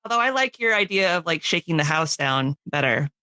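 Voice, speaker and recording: Bright, animated woman podcast host. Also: sits low, brisk, no echo. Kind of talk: casual chat.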